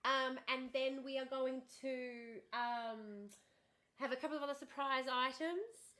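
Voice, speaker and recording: Woman streamer, high and bright, bubbly and chatty, slightly worn voice from hours of talking. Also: unhurried. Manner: bubbly, chatty, thanks viewers warmly and often. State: starting to lose her voice. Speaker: livestream host. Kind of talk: casual livestream chat with viewers.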